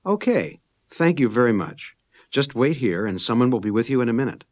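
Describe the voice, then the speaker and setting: A man voicing a polite visitor in a scripted textbook dialogue, speaking slowly and clearly. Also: mid-range voice, big pitch swings.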